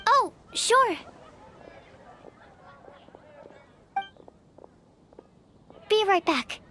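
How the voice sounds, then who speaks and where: A woman's voice: extremely high pitch, lively intonation, slow, full character voice. A woman playing an earnest, studious young female alchemist in a video game, speaking in precise, bookish, polite phrases. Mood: polite, curious. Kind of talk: video game character acting. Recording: soft game music behind.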